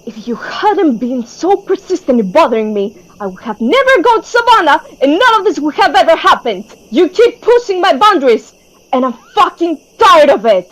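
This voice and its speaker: High-voiced, intense young female leader, acted by a woman. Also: very animated, moderate pace, rough recording. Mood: angry, indignant. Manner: intense, earnest, emphatic. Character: anxious, driven young female leader of trapped group. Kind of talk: fan-dub webtoon voice acting.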